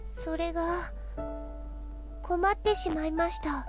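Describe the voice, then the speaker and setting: An actress playing an earnest, timid young daughter in a visual novel, soft-spoken, sweet and polite. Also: female voice, extremely high pitch, fairly even intonation, moderate pace. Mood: troubled. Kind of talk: Japanese visual novel voice acting. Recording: game audio with clear background music. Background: music.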